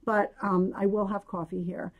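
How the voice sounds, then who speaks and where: A New woman, slightly hoarse, co-hosting a casual chat about her yarn shop, rambling along with fillers. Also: mid-range voice, flat delivery, normal speed, phone-quality sound. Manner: chatty, rambling with fillers and laughs. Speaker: yarn shop owner and podcast co-host.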